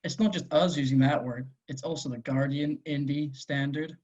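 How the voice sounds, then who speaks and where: Man as a husky, higher-voiced young journalist. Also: steady tone, moderate pace, a little mellow, lo-fi sound, close to an everyday voice. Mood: coaxing. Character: encouraging young male journalist, supportive drinking companion. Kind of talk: amateur script table read.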